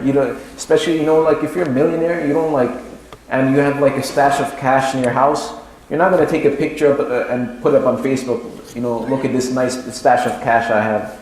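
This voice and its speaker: Higher-pitched male preacher in an echoey hall. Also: steady tone, brisk, a little mellow. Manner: didactic, repeats key phrases for emphasis. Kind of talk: mosque lecture, explanatory and semi-extemporaneous. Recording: very echoey room, clear background noise.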